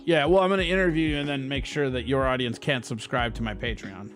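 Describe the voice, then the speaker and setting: Male American stream host, teasing and deadpan-sarcastic. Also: mid-range voice, quick pace, soft background music. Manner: teasing, sarcastic, lots of sighs and groans. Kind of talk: casual comedic banter.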